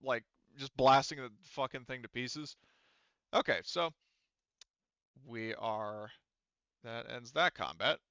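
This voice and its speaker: A man running a tabletop roleplaying game, narrating casually and haltingly, often breaking off and restarting. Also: mid-range voice, bright, forceful tone, clear, resonant tone, no room sound, highs cut off. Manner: halting, frequent self-interruption. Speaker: game master.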